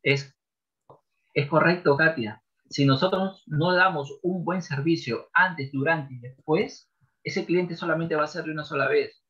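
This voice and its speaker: Male sales coach, 40s, higher voice, punchy and repetitive delivery, dull webcam sound. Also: a little slow, pushed and bright. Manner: emphatic, repeats key phrases like a trainer. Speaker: sales trainer guest. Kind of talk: podcast interview by video call. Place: home office with bookshelves.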